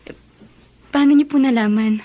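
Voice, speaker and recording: A woman's voice: high-pitched, moderate pace, dark tone. A woman acting a curious, shy young daughter newly in love in a Filipino radio drama, coy and respectful. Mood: coy, bashful. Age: young adult.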